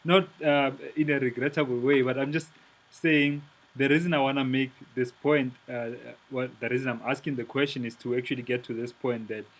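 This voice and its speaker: A man's voice: medium pitch, fairly even intonation, a little fast, rough recording. A man in his thirties from South Africa hosting an interview, asking personal questions hesitantly with many restarts. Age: 30s. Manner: hesitant, searching for words. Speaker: podcast host. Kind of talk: casual interview.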